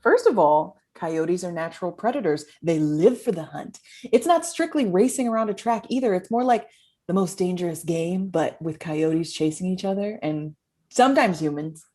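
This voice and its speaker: A woman's voice: on the low side, lively intonation, normal speed, mellow. A woman playing a shady, brash poison seller in a comedy table read, cocky and wisecracking. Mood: defensive.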